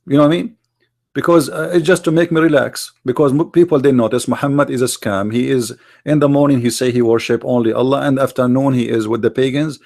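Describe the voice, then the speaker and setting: A man who grew up speaking Arabic argues in halting, non-native English full of fillers. His mid-pitched voice has a gravelly, fry-laden edge. He talks as a podcast guest making a case. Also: steady tone, a little mellow. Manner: argumentative, non-native grammar, many fillers. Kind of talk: podcast interview.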